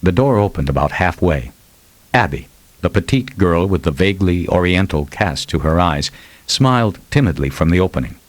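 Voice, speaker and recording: A man's voice: deep voice, animated, moderate pace, no echo. A man narrating fiction as a scripted, dramatic audiobook reading.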